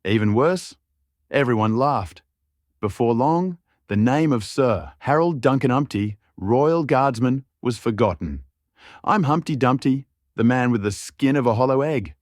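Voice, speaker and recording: A man's voice: mid-range voice, lively intonation, unhurried, no echo, studio quality, pitched above the performer's own voice, natural voice. A man voicing a bitter, mocking porcelain guardsman, a murderous villain with an aggrieved, taunting way of talking in an audiobook story. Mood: mocking, gloating.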